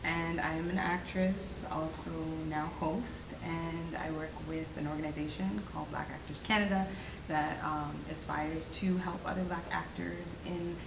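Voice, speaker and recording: A woman, an actress and guest on an interview show, talking playfully with halting restarts and giggles. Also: deep voice, steady tone, gravelly, distant mic, noisy background. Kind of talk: casual interview chat. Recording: echoey room, off-mic, humming.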